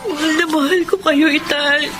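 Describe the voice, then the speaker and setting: An actress playing a devoted but defiant grown daughter, anguished and emotional, in a Filipino radio drama. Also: female voice, sits high, fairly even intonation, normal speed, over loud music, close to an everyday voice. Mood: anguished, resolute. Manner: emotional, pleading.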